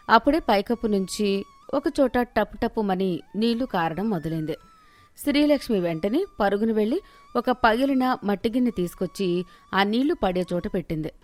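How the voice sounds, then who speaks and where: A woman's voice: mid-range voice, a little mellow. A woman narrating a scripted moral story as the storyteller.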